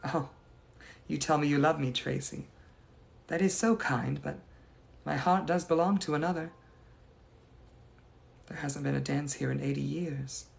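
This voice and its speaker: A man's voice: on the high side, fairly even intonation, unhurried, creaky, long pauses. A man voicing the ghost of a young lady from the 1880s, speaking in a formal, old-fashioned and gracious manner. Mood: wistful. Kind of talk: improvised live roleplay answering viewers.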